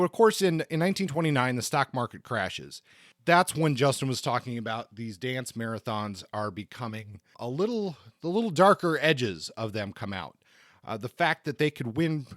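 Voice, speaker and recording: A man co-hosting a podcast, explaining history in a conversational way, often halting and repeating words. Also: mid-range voice, dry recording, studio quality. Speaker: podcast co-host.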